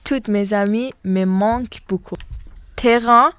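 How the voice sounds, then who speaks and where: Teen girl, halting reading, smooth voice, dry sound. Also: medium pitch, a bit bright, no echo. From Iran. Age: teens. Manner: halting, learner's French. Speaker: student reading her text. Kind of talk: read-aloud personal account.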